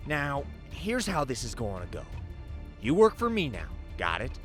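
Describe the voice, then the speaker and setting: A man's voice: medium pitch, wildly swooping pitch, a bit bright, faint music behind. An actor playing a menacing male crime boss in an audio drama, taunting and falsely friendly as he toys with his prey. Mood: taunting, commanding. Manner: taunting, toying, drawn-out threats.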